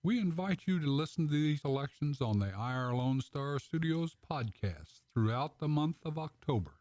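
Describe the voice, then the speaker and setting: Guy reading credits, lively pitch, dry close sound. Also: normal speed, no room sound. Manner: plain and steady. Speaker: announcer.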